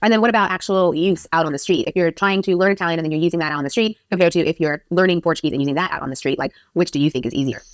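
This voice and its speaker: A woman co-hosting a discussion video, speaking quickly and asking questions. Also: fairly low pitch, no echo. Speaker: video co-host. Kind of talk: conversational discussion.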